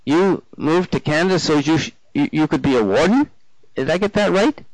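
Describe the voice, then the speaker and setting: Man voicing a wary woman, pitched up high. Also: lively intonation, normal speed, no room sound, low-quality recording. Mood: puzzled. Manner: terse, questioning. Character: wary, blunt woman questioning a stranger. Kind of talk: audiobook character dialogue.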